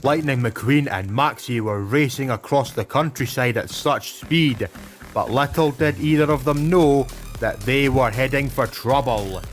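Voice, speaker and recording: British man, fan cartoon narrator, rambling, reels off facts, mid pitch. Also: unhurried, music in the background. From Britain. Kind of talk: casual narration and commentary.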